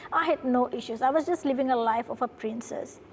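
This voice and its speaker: A woman's voice: a little high, brisk, slight background noise. A woman from Fiji telling her story in an earnest interview, often adding "right?" as she talks. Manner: earnest, tag questions with "right?". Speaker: guest, production company founder. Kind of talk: interview conversation. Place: studio.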